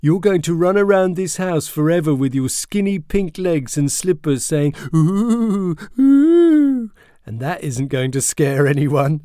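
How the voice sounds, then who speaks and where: Guy doing a mocking show-off kid, sneering and loud, dry close mic. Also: mid-range voice, moderate pace, no room sound, pitched above the performer's own voice, close to an everyday voice. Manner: taunting, sneering. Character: mocking, boastful boy who teases his stepbrother.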